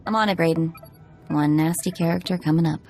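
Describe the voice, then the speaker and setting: A spunky young tech slicer. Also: female voice, low-pitched, a little mellow. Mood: perky. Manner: quick, chatty, teasing. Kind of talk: video game dialogue. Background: faint game music.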